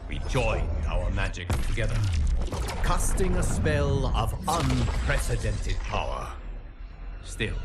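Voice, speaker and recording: A man playing a wise, godlike guardian who narrates game cutscenes in a grave, formal, measured way. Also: mid-range voice. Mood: solemn, measured. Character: solemn, wise, godlike male guardian of the timelines. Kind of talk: scripted dramatic cutscene narration.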